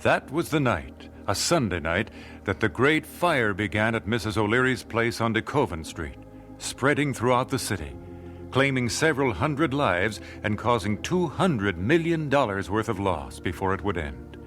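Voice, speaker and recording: An actor playing an earnest nineteenth-century American gospel singer in a radio drama, speaking in a measured, solemn, dramatic way. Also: male voice, on the low side, sing-song, a bit bright, faint music behind. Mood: solemn, reflective. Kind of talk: radio drama narration and dialogue.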